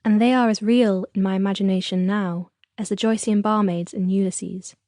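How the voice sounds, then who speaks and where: A woman's voice: mid-range voice, steady tone, moderate pace, dark tone, no echo, studio quality. A woman reading a scripted personal literary essay as audiobook narration. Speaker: audiobook introduction reader and author. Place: dry recording studio.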